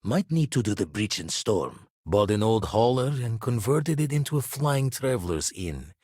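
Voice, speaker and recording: An actor playing a gruff, world-weary former space pirate in a video game, loyal and watchful, speaking in clipped folksy phrases with a husky voice. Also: male voice, lowish voice, very animated, moderate pace, darkish tone, no room sound, clean studio sound. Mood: steady, weathered. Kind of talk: video game voice acting, dramatic dialogue.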